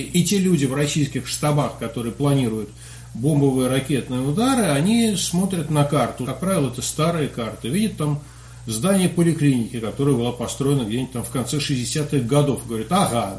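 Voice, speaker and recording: A man whose first language is Russian, commenting as a podcast guest in a thoughtful way and often trailing off quietly. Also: medium pitch. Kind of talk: conversational analysis. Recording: echoey room with faint hum.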